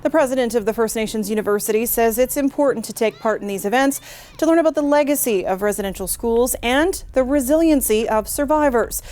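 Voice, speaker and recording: A woman reading the news as anchor in a steady, formal way. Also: on the high side, fairly quick.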